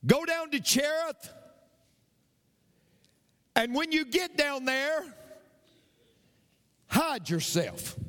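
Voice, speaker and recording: Male pastor, lively high voice, long hall reverb, storytelling preacher. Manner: animated, builds to shouted preaching. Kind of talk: sermon storytelling.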